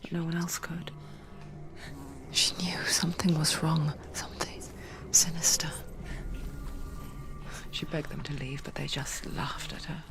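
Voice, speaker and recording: A woman playing an eerie narrator spirit in a game, telling a story in a hushed, confiding, deliberate way. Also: low voice, steady tone, in a whisper, over music. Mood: ominous. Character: eerie female narrator spirit, intimate and ominous.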